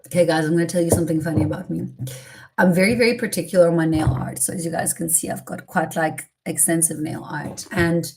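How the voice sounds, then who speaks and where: A woman's voice: low-pitched, fast talker, low-quality recording. An Italian woman with a British-schooled English accent and a slight American twang, chatting casually on a spiritual livestream and chanting flowing syllables. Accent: British-educated English with a slight American twang. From Italy. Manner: chatty and relaxed, many fillers, chants flowing syllable strings. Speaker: spiritual channeler hosting a livestream.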